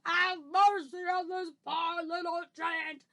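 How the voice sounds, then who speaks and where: A woman's voice: very high-pitched, flat delivery, slow pace, sharp tone, pitched above the performer's own voice, full character voice. A woman voicing a huge brutish man-eating giant in a children's storybook, terrified by a nightmare, bellowing and wailing as it pleads. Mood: desperate pleading. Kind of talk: children's storybook read-aloud.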